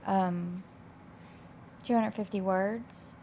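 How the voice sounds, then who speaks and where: A woman instructor with a slightly raspy, hoarse voice, explaining coursework in a casual, loosely phrased, conversational way. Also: medium pitch, fairly even intonation, very slow, mellow. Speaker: college instructor. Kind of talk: informal explanation of course assignments. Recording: clear background noise.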